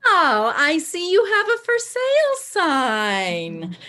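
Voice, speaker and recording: A woman's voice: high voice, wildly swooping pitch, slow pace, pushed and bright, exaggerated voice. A woman playing a forward, friendly busybody of a suburban housewife in a staged play reading. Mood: nosy, bright. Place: video call.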